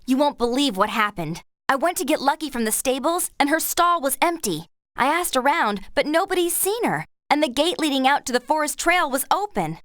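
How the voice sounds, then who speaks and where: A woman's voice: sits high, sing-song, brisk, fairly bright tone, no room sound, studio quality. A woman with an American accent playing an earnest, grateful young horse rider and loyal friend in a game, speaking expressively. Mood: worried. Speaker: voice actor. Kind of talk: scripted game character dialogue.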